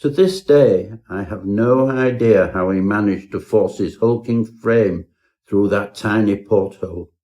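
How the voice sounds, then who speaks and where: A man's voice: on the low side, unhurried, mellow, lo-fi sound, lightly acted. A man playing a pompous Edwardian professor, narrating his own parody ghost story in a florid, melodramatic way. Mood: pompous, rueful.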